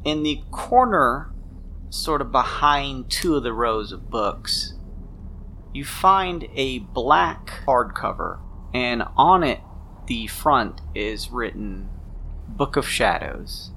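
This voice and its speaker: Gravelly, bright-voiced man as game master. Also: medium pitch, lively intonation, slow pace, a little hiss or hum. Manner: improvised second-person narration. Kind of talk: narrating a tabletop role-playing game.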